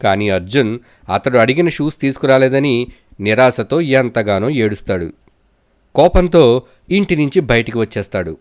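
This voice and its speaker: Man, average pitch, storyteller for scripted children's moral tales. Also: animated, moderate pace, pauses often. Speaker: storyteller narrator.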